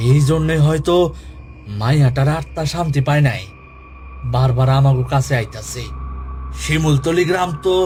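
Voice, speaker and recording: A man's voice: on the high side, a little mellow, lightly acted. A man playing an earnest, grave village gravedigger in a ghost story, speaking with a rural Bangladeshi accent. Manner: grave, reflective. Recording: music behind the voice.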